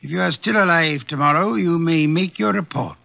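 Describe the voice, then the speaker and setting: An actor playing a bulky, irascible genius detective in an old radio drama, curt, imperious and ponderous. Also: male voice, on the high side, brisk, gravelly, loud music behind the voice. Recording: dull vintage radio broadcast.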